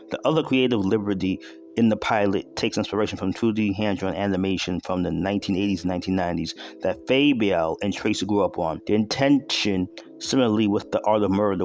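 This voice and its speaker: A man hosting an enthusiastic video essay, speaking in an informal, slangy and emphatic way. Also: lowish voice. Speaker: host.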